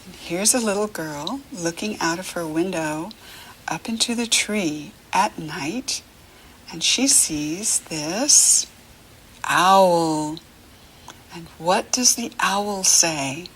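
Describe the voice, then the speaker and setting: A middle-aged American woman leading a read-along lesson for young children, encouraging and teacherly, pausing for the child to answer. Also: on the low side, a bit bright, a little hiss or hum, rough recording.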